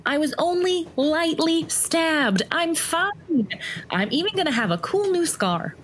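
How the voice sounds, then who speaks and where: An actress playing a stubborn, quippy swashbuckling heroine in an audio drama, with a theatrical manner. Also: female voice, very high-pitched, expressive, measured pace, slight background noise. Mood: defiant.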